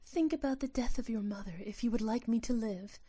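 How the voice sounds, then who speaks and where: Woman acting a tiny bright-voiced female sprite. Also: on the high side, fairly quick, husky. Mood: grave, pleading. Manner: formal, solemn phrasing. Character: tiny delicate winged female sprite, earnest and tender. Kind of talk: audio drama acting.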